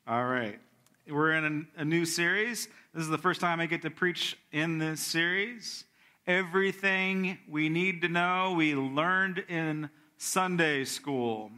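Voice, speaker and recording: A man's voice: fairly high pitch, sharp tone. A middle-aged man, a pastor, giving announcements, a prayer and a sermon in a conversational, self-correcting way.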